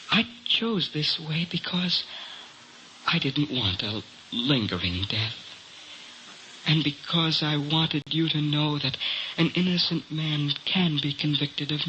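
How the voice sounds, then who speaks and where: An actor playing a dying, coldly vindictive brother confessing by letter in a measured, pointed radio drama monologue. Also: male voice, on the high side, sharp tone. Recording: noisy old radio broadcast.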